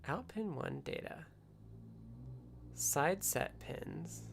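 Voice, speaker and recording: A man hosting a casual live coding stream, talking haltingly and half muttering to himself as he works. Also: on the high side, expressive, vocal fry, a little hiss or hum. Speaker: livestream host, programmer. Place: indoors at a desk with computer.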